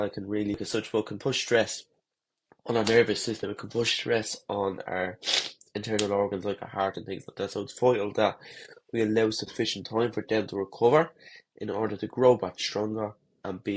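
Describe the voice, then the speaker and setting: A man with a head cold, congested and slightly husky, giving runners a relaxed, rambling talk-to-camera lesson with fillers and restarts. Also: on the low side, a little hiss or hum, rough recording. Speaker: running coach.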